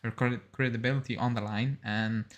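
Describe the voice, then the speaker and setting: A young man talks informally as the co-host of a sports live stream. His voice sits at an average male pitch, and he moves between reading comments aloud and giving his own casual reactions. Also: fairly even intonation, fairly quick. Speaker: co-host of a football fan live show.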